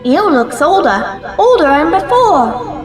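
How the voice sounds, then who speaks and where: A plucky, earnest young girl in an audio drama. She speaks slowly and bluntly in a very high, swooping voice with rough grammar, in an echoey space. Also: sing-song, heavily acted. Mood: eager, supportive. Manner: earnest, blunt, ungrammatical working-class speech. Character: loyal, plucky, plain-spoken young girl helping an old man. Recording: echoey space under music.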